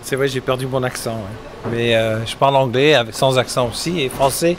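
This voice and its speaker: A middle-aged man from England talks easily at a mid-pitched level, wandering through his answers with pauses and restarts. He is recorded outdoors, with clear traffic and street sounds behind him. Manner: relaxed, rambling, many hesitations and restarts. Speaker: musician guest. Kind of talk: casual interview answers. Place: outdoors at a summer concert event. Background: traffic and street ambience.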